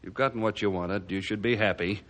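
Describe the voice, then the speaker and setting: An actor playing a gruff, stubborn, suspicious middle-aged small-town mayor in a blustery radio drama manner. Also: male voice, fairly low pitch, brisk, fairly bright tone, natural voice. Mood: bewildered, grudging. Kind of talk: radio adventure drama acting.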